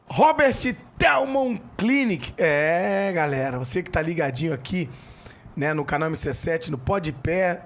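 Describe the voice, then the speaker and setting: Brief: energetic middle-aged Brazilian host, slightly high voice, studio handheld mic. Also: male voice, a little hiss or hum. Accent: Brazilian Portuguese. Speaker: podcast host. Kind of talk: casual podcast talk with live ad reads. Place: podcast studio.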